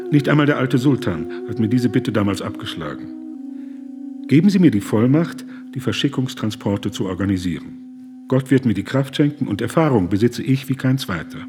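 Man acting fervent petitioner, mid-pitched, insistent, formal German pleading, radio play, music behind. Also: close to an everyday voice. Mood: determined pleading. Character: impassioned German humanitarian pleading with a minister. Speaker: actor in radio drama.

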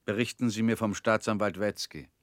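An actor in a radio play playing a calm former prisoner who questions in a cool, probing, slightly ironic way. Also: male voice, on the low side, a little fast, dry recording, clean studio sound, natural voice.

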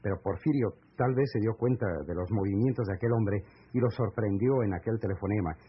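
An actor with a Mexican accent playing an earnest, courteous man in a radio serial, speaking formally and deliberately. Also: fairly low pitch, slight background noise, natural voice. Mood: grave, explanatory. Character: earnest, courteous man patiently breaking grave news. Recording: muffled, lo-fi old AM radio recording.

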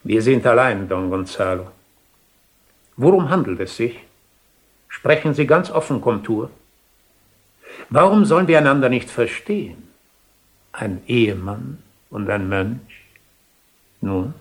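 An actor playing an unctuous, inquisitive monk hearing confession in a radio play, formal and probing. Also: male voice, mid-range voice, expressive, unhurried, long pauses, close to an everyday voice. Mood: inviting. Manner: smooth-talking, probing, formal. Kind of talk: German-language radio play acting, 1970.

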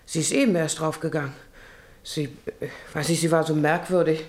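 A woman's voice: sits low, steady tone, brisk, darkish tone, lightly acted. An actress in a radio play playing a middle-aged rancher's wife and mother, anxious and caring, hesitant and full of worried questions. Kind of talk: German radio play acting.

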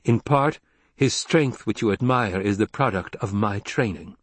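A man's voice: on the low side, unhurried, no echo. A man playing a proud, calculating mother in an audiobook, with controlled and formal speech. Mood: composed, proud. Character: composed, calculating, proud mother of a noble boy.

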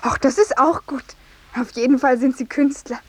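High, breathy, dreamy young lady. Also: normal speed. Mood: gushing, confiding. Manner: gushing, girlish, breathy. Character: dreamy, naive young general's daughter, coquettish. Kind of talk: 1950s German radio play dialogue.

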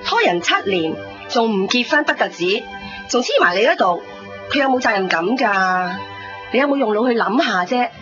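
A middle-aged Hong Kong Cantonese mother, scolding and reproachful. Her fairly high voice swings widely in pitch. The radio drama recording is slightly dull, with music behind her. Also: sing-song, moderate pace. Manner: reproachful, firing rhetorical questions.

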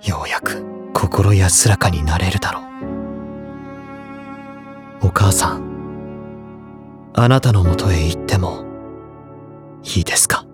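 A man's voice: sits low, takes it slowly, pauses often, music in the background. An actor playing a brooding, anguished teenage boy delivering an obsessive confession in an audio drama monologue. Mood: desolate, pleading.

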